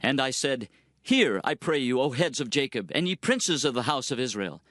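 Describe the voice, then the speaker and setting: A man's voice: mid-range voice, lively intonation, moderate pace, a bit bright, dry recording, natural voice. Man acting as impassioned prophet, sweeping emphatic delivery. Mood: indignant. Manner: declamatory, emphatic, theatrical. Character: impassioned male prophet lamenting and warning his people. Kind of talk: dramatized scripture reading. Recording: music under the voice.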